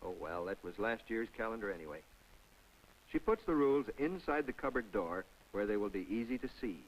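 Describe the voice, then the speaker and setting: A man's voice: on the low side, animated, a little fast, low-quality recording. A man narrating a scripted 1950s public information film in a folksy, instructive way, with conversational asides to the viewer. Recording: vintage 1950s film soundtrack.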